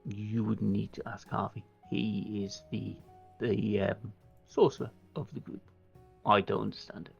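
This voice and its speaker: Gravelly-voiced man running a tabletop game. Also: on the low side, little pitch movement, measured pace, lo-fi sound, faint music behind. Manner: explanatory, checks listeners follow. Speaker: game master. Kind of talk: explaining and narrating a tabletop roleplay livestream.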